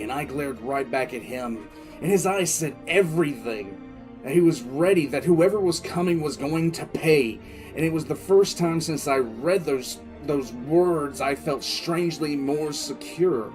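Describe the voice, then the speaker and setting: A man playing a stern, battle-weary military commissar, telling his story in a confiding, dramatic first-person audio drama style with pauses. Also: medium pitch, natural voice. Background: music bed.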